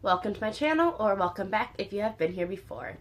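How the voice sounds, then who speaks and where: A young woman talks through her used-up beauty products in a casual, candid way, her voice still slightly raspy from a recent illness. She chats openly, like she's talking with friends, with a middling pitch. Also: a little fast. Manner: chatty, candid, lively. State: recovering from illness. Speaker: beauty vlogger.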